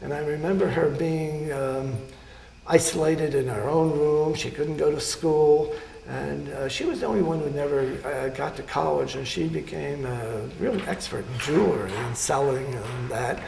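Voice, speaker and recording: An older man recounts his life in a halting, unhurried way, full of pauses and fillers. His voice is gravelly and a little high. He sounds as if he is in an echoey room. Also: a little mellow, slight background noise. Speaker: surgeon interviewee. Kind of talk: oral history interview.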